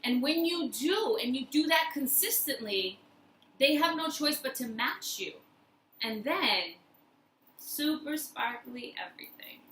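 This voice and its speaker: A woman with a fairly high, very bright voice gives a motivational talk as the host of her own video. She speaks emphatically, repeating key phrases to drive them home. The room adds a noticeable echo around her voice. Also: lively intonation, measured pace. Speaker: host of a personal-growth video series. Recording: roomy echo, faint background noise.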